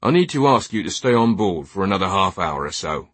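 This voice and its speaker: A man's voice: deep voice, big pitch swings, brisk, a bit bright, no room sound, full character voice. A man voicing a stern, unyielding English police captain in an audiobook, formal and firm.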